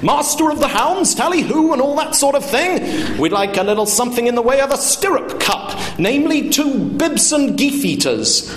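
A man reading a short story, voicing a boisterous, sarcastic father who barks at waiters with loud, pompous mock politeness. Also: high-pitched, lively intonation, takes it slowly, creaky, hardly any pauses, pitched above the performer's own voice, full character voice. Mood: mocking, angry. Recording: roomy, noisy live room.

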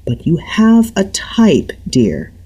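A shrill, prying older mother who lectures and presses without letup, as a woman reading a novel puts her on. Her voice sits far higher than the reader's own and stays loud and insistent. Her tone swings widely. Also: wildly swooping pitch, takes it slowly, slightly rough, exaggerated voice. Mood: lecturing. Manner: high-pitched, insistent and lecturing.

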